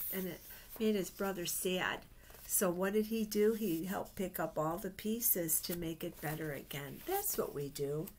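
A middle-aged woman reads picture books aloud to children, adding chatty asides and simple questions. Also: mid-range voice. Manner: simple, child-directed phrasing with gentle questions. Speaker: children's Bible storyteller. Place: small indoor office. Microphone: webcam at desk distance.

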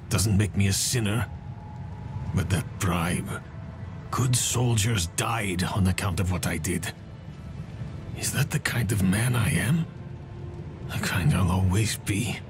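An actor playing a weary, guilt-ridden soldier in a game, gruff but friendly, with wry, understated delivery. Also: male voice, deep voice, slow pace, pauses often, over music. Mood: anguished. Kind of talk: dramatic game dialogue.

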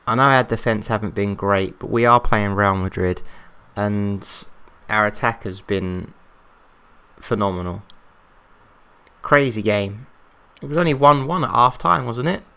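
An English man calls a football management game like a match, excitable and rambling as he talks to himself. His voice sits low and comes through smooth and clear, though it is wearing thin. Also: a little slow, long pauses. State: losing his voice, coughing. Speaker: game commentator.

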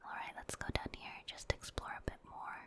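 A woman whispers throughout, in a soft, breathy hush with no full voice. She is a gaming streamer giving ASMR playthrough commentary, musing aloud in an unhurried, informal way.

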